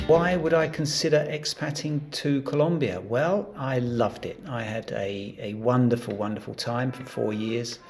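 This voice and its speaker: Gravelly-voiced man from England. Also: mid-range voice, unhurried, few pauses, a little mellow, soft background music. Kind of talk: casual personal account.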